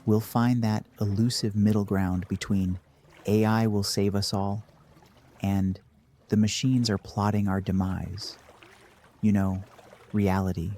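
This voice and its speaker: A man narrating a scripted guided meditation in a measured, dry deadpan manner. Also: fairly low pitch.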